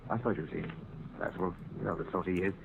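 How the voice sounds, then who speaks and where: A man's voice: sits low, steady tone, fast talker, creaky. A man playing a formal, aggrieved ventriloquist in mannered old-time radio drama style. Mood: weary, confiding. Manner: proper, mannered, indignant. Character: aggrieved, formal male British ventriloquist recounting his troubles. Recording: noisy, tinny old radio recording.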